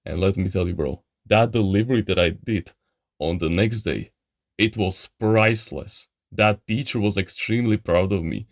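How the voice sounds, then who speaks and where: A young man with a lowish voice tells a story from his own life in loose, slangy chat and keeps calling the listener bro. He is from Eastern Europe and speaks English as a second language. The sound is dry and close, with no room echo. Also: moderate pace. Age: young adult. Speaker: channel host. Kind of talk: casual storytelling monologue.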